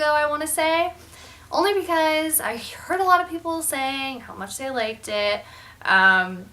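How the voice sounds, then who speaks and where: A woman's voice: a little high, sharp tone, a little hiss or hum. A young woman chatting casually as a beauty vlogger, candid and full of ums and likes, sounding slightly nasal from a sinus infection. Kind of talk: casual product review chat.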